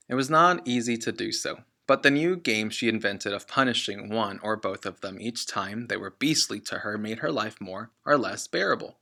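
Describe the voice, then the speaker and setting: Bright-voiced man reading a children's book. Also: medium pitch. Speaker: teacher reading aloud. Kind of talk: expressive children's book read-aloud.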